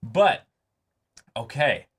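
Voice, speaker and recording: A man's voice: medium pitch, big pitch swings, drawn-out words, breathy edge. A man around his thirties hosting a reaction show in casual chat, thinking aloud and repeating phrases as he goes. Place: small indoor room at a desk.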